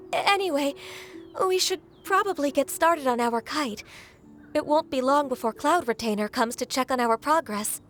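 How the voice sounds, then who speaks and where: A shy, courteous young woman from a video game, in a high voice. The speech is unhurried and tentative, over clear background music. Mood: flustered. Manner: soft-mannered, hesitant, polite. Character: gentle, shy, polite young horned woman.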